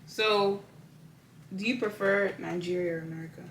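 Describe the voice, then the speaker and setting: A woman's voice: mid-range voice, fairly even intonation, moderate pace, a bit bright, distant mic. A young woman hosting a casual chat, curious and supportive, asking questions. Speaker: host. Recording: echoey room away from mic, faint noise.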